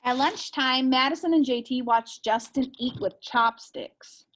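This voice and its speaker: A woman's voice: high-pitched, a little slow, a bit bright, slight background noise, rough recording. A woman teacher reading a picture book aloud expressively and asking young listeners questions.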